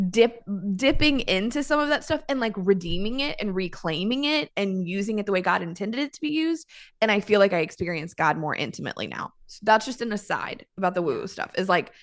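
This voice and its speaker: A woman speaking as a podcast guest, a mother talking about faith in a candid, emphatic way. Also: mid-range voice. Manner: emphatic, candid, quick to exclaim. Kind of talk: casual faith conversation.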